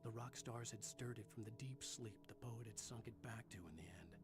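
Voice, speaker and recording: An actor narrating as a brooding male writer in a video game, somber and measured. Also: mid-range voice, music in the background, natural voice. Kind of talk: scripted narration.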